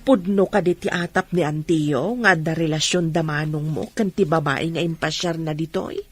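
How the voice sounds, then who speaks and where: Calm mom of grown sons in a radio drama, patient, lowish slightly husky voice. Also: female voice, moderate pace. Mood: gently probing.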